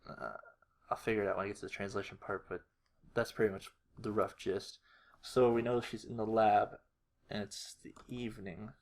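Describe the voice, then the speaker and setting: A man's voice: lowish voice, little pitch movement, normal speed. A man narrating a casual tutorial, hesitant and unrehearsed, thinking aloud as he works. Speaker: animator, video creator.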